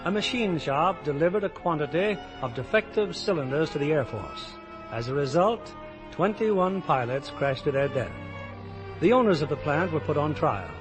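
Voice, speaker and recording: Male American host for vintage radio: formal, declamatory, slightly high and husky, music behind. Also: lively intonation, moderate pace. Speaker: radio show host and producer. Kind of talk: 1950s radio presenting, scripted narration. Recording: old radio broadcast with music.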